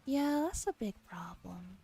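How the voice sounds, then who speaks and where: Mellow, soft-spoken woman streamer over music. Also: mid-range voice, big pitch swings, a little slow, gravelly, breathy edge. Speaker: streamer reading a visual novel aloud and chatting with viewers. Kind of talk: soft ASMR read-aloud with casual chat. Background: background music.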